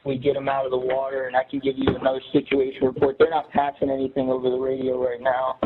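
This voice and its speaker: A man's voice: mid-range voice, completely flat delivery, quick pace, husky. A man calling an emergency dispatcher, giving a businesslike but hesitant report over a recorded telephone line. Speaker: caller reporting an emergency, security command post. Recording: noisy, narrow recorded emergency phone line.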